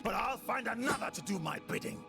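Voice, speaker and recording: An actor playing an imperious, raging villain in a video game, haughty, threatening and melodramatic. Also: male voice, very high-pitched, expressive, brisk, vocal fry, over loud music, heavily acted. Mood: furious, threatening. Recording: voice under very loud game soundtrack.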